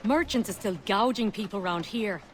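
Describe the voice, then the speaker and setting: A woman's voice: medium pitch, moderate pace, natural voice. Actress as a plain-spoken streetwise woman, noisy backdrop. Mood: businesslike. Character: streetwise working-class woman recruiting help against profiteers. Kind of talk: video game dialogue.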